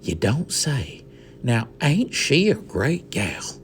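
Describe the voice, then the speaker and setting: A man's voice: medium pitch, very animated, slow, slight background noise, voice pushed up. A man voicing a tiny, self-pitying cowboy with a twangy drawl. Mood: wistful.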